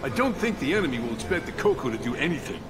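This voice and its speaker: Man as confident, lively young hedgehog hero. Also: medium pitch, rapid-fire, lightly acted. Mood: skeptical. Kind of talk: video game cutscene acting. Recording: loud music behind the voice.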